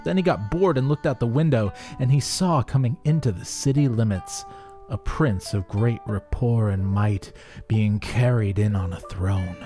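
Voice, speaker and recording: A man narrating a rhyming folk tale as a storyteller, theatrical and expressive. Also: fairly low pitch, normal speed, soft background music.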